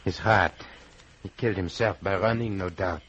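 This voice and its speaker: An actor playing an earnest backwoods guide with a French accent and formal phrasing, in an old-time radio drama. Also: male voice, sits low, moderate pace, a bit bright, muffled recording. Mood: grave. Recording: noisy vintage radio recording.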